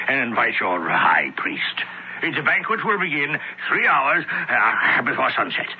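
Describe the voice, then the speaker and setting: Give the radio play a jovial, indulgent merchant father. His speech is flustered and blustering, with big swings in pitch. The old recording is dull with faint noise. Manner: hesitant, chuckling bluster. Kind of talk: old-time radio drama acting.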